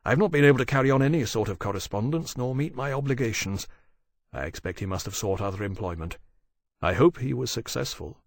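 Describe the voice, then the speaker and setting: A man voicing a weary, restrained gentleman officer with formal, courteous manners in an audiobook. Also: medium pitch, expressive, gravelly, no echo, studio quality. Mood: regretful. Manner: formal, measured, reserved.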